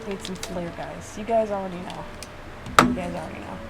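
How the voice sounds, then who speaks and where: A woman's voice: on the low side, a little fast. A woman chatting casually in a playful, self-mocking way, like a YouTuber doing a reaction video. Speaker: YouTuber reacting to own visual novel. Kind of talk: casual rambling reaction commentary. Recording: clearly noisy room recording.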